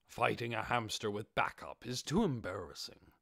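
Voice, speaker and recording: Man as a low, cool undead warrior lord. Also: lively intonation, normal speed, gravelly, a bit bright, no echo, clean studio sound, heavily acted. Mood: dismissive. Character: armored male undead warrior lord, cool, calculating, disdainful.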